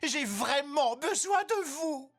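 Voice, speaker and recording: A woman's voice: sits high, expressive, slow, soft background music. A woman voicing a petulant, panicky cartoon character, whining and complaining dramatically. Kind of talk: animated cartoon dub.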